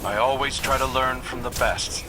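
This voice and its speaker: An actor playing an earnest, dutiful young hunter in a video game, speaking with focus and quiet confidence. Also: male voice, medium pitch, steady tone, normal speed, lightly acted, poor audio. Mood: confident. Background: faint game music.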